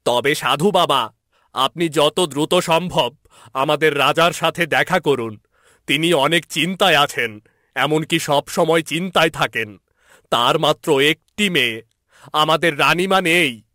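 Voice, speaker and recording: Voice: mid-range voice, measured pace, bright, forceful tone, no room sound, clean studio sound, pitched above the performer's own voice. A man playing an anxious, deferential royal minister in a fairy-tale cartoon. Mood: anxious, imploring.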